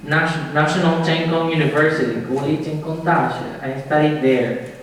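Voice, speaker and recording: A man's voice: on the high side, flat delivery, normal speed. A man in his twenties giving a casual, joking presentation to a class of students, checking in with them as he goes. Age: 20s. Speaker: guest presenter. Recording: echoey room, voice from far off, faint noise.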